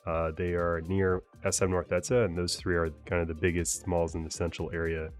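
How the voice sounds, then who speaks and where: A man talking casually as a travel vlogger, relaxed and chatty with frequent fillers. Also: low-pitched, brisk, soft background music. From United States. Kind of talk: casual travel vlog narration.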